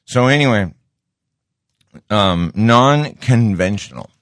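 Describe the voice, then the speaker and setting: A very deep-voiced middle-aged man hosts his show in a slow, unhurried ramble, circling back and repeating words as he interrupts himself. The sound is close and dry, with no room around it. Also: expressive, fairly bright tone, no echo. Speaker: show host. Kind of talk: casual monologue.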